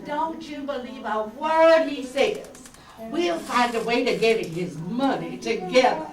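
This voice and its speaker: A woman's voice: a little high, measured pace, close to an everyday voice. A woman playing a scheming, gold-digging wife in an amateur radio play, coaxing with false sweetness. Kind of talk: amateur old-time radio play acting. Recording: echoey, distant, noisy room recording.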